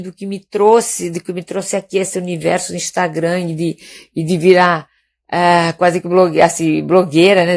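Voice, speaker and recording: A woman in her fifties with a fairly low voice and a Spanish accent, native in Catalan and Castilian. She chats casually to viewers on a live stream, hesitating as she goes and often adding little tag questions to check they are following. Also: darkish tone. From Mallorca, Spain. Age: 50s. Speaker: doctor hosting a live stream.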